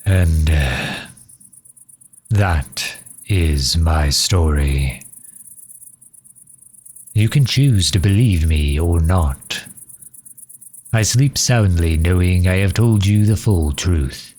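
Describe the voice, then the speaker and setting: A man playing a centuries-old vampire leader in an audio roleplay, dignified, formal and grave in his measured delivery. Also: low-pitched, a little mellow, soft background music, full character voice. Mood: weary, grave. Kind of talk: scripted ASMR roleplay monologue.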